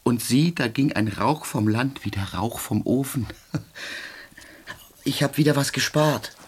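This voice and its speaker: An actor in a radio drama playing a haunted, agitated poor soldier and father who broods in hushed, disjointed phrases. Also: medium pitch, a little slow, husky. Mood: distracted, brooding.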